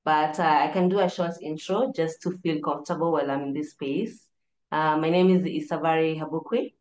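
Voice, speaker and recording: A Kenyan-accented woman in her thirties with a low voice gives a casual introduction of herself. She is on a video call in an echoing room. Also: fairly even intonation, fairly quick, darkish tone, a little hiss or hum. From Nairobi, Kenya. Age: 30s.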